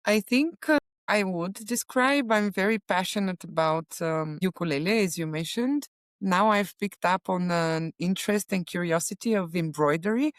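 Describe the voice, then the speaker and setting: A woman from Bulgaria, a plant medicine facilitator, speaking as an interview guest in a soft, earnest way with frequent pauses and fillers. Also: lowish voice, no room sound, studio quality. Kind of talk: casual interview talk.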